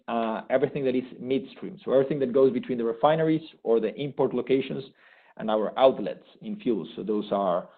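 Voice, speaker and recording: A man, giving an eager, halting testimonial with many restarts. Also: medium pitch. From Argentina. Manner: enthusiastic, halting with frequent restarts. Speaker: graduate program participant. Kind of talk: unscripted testimonial. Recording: thin phone-like sound.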